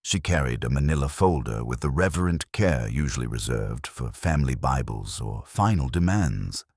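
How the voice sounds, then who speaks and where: A man narrating a scripted mystery story in a formal, measured, storytelling manner. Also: a bass voice, expressive, darkish tone, slightly rough, no room sound, studio quality.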